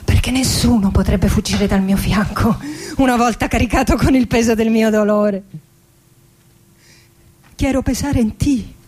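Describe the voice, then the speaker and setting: An actress playing an anguished, lovesick poet in her forties in a theatrical radio monologue, intimate and dramatic, with long pauses. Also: high voice. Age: 40s. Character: anguished, lovesick 46-year-old Argentine woman poet facing death. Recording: music behind the voice. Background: acoustic guitar music.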